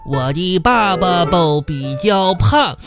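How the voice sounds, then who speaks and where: A woman playing a dim, bumbling, food-loving small child in a radio comedy, with a halting childlike delivery. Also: lowish voice, animated, music in the background. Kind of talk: radio sketch comedy acting.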